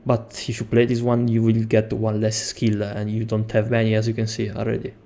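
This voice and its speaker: A man's voice: fairly low pitch, monotone, a little fast, vocal fry, warm tone. Italian-accented man explaining a game build. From Italy. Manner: explains step by step with frequent hesitations. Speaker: game guide creator. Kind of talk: gameplay tutorial.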